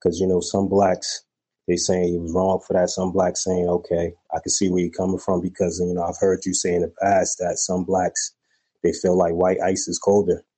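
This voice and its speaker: Deep husky-voiced male caller. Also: monotone, moderate pace, mellow, rough recording. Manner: rambling, many "you know" fillers. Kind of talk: casual call-in talk.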